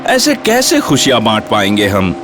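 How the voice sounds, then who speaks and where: A man's voice: lowish voice, huge pitch swings, normal speed, noisy background. A man playing a battered, well-meaning biscuit box in a training film, pleading and full of grievance. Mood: aggrieved. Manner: aggrieved, pleading. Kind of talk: acted dialogue in a training film. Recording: loud music behind the voice.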